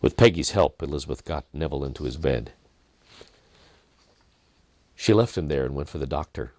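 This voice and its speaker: A man reading a dramatic story in a measured manner, with deliberate pauses and softened phrases. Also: extremely low pitch, lively intonation, no room sound. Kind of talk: dramatic scripted narration.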